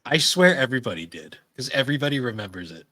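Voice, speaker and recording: A man's voice: mid-range voice, very animated, fast, sharp tone, no echo, clean studio sound. A man co-hosting a podcast, chatting casually and informally in loose, rambling remarks. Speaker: podcast co-host. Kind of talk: casual chat about anime and games.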